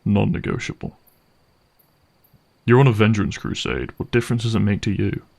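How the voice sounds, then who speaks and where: A man playing a gruff, cynical vampire hunter for hire in a dramatic audio roleplay, speaking in a wry, sardonic and menacing way. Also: fairly low pitch, animated, moderate pace, darkish tone. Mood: guarded, bargaining. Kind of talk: dramatic audio roleplay monologue addressed to listener.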